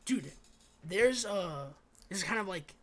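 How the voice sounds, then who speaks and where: A man's voice: high-pitched, expressive, a little slow. A young American man chatting casually as a podcast co-host, with slangy speech full of swearing. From United States. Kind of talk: casual chat.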